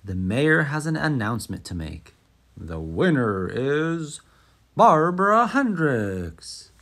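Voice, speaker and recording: A man reading a children's picture book aloud as an expressive, dramatic storyteller. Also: medium pitch, takes it slowly.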